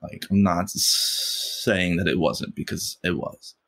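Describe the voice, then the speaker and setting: A man's voice: sits low, steady tone, slow, a bit bright. A man who stutters, a podcast guest speaking reflectively in a casual interview chat. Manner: stutters, with repeated sounds and fillers; reflective, with many "like" and "you know".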